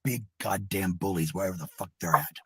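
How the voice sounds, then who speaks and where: A man ranting informally in a raspy voice, combative and sweary, repeating points for emphasis. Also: low voice, a little fast, crowd noise. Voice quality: husky, raspy. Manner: combative, profane, repetitive. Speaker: parent speaking in a clip. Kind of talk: angry informal rant.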